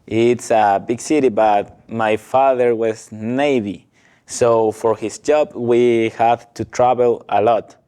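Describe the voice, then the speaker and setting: A man in his twenties from Ecuador, speaking English with a Spanish accent as a guest, telling his story slowly and haltingly with little laughs. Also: medium pitch, steady tone, warm tone, clean tone. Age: 20s. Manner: slow, halting non-native English with frequent laughs. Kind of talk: casual interview, telling his life story.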